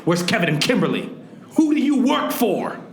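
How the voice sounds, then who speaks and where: A man as an animated, hard-boiled detective. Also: high-pitched, moderate pace. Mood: insistent. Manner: pressing, melodramatic. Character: insistent male detective, comically hard-boiled. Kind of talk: comic radio noir acting. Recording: echoey room, clear background noise.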